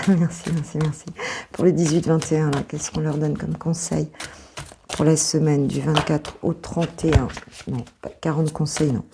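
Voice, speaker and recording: A French woman who is a coach, reading cards to her viewers in a casual, hesitant way with many fillers and a slightly hoarse voice. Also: low-pitched, background noise. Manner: hesitant, many fillers, soft reassuring asides.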